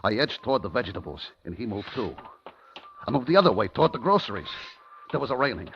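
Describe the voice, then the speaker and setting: An actor playing a terrified hunted man recounting his ordeal in an intense 1950s radio thriller style, swinging between hushed and frantic. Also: fairly low pitch, very animated, quick pace. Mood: hushed dread. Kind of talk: 1950s radio thriller acting, first-person dramatic narration. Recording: dull 1950s radio recording with music.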